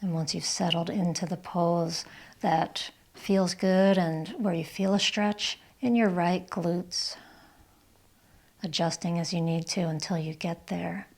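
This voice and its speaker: A woman leading a guided yoga and relaxation session as the instructor, speaking in a gentle, calm, soothing way with breathy cues. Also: fairly low pitch, slow pace. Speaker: yoga instructor and channel host.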